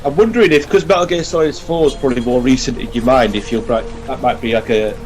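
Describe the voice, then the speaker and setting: A man's voice: medium pitch, fast. A man giving casual let's play commentary, explaining what to do and swearing now and then. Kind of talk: casual gameplay commentary and chat. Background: video game music.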